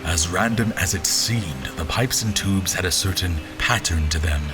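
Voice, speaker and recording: A man plays a world-weary general recounting his memories in a low voice. The narration is brooding, sardonic and literary, delivered as scripted audio drama over clear background music. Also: moderate pace, lightly acted. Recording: music bed under narration.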